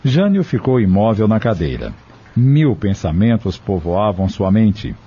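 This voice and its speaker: A Brazilian man with a husky voice, reading scripted narration for an audio drama. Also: lowish voice, sing-song, a little slow, mellow, slight background noise. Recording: dull lo-fi audio.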